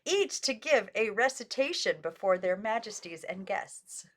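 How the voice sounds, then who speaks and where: A woman's voice: medium pitch, expressive, a little slow. A woman reading a biography aloud as an amateur narrator, in an unpolished way that stumbles over foreign names. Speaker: audiobook reader.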